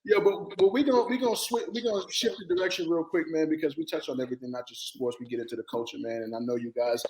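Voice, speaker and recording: A man hosting a casual sports and culture talk show, animated and quick to jump in over the others. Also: a little high. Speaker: podcast host. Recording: echoey room with faint noise.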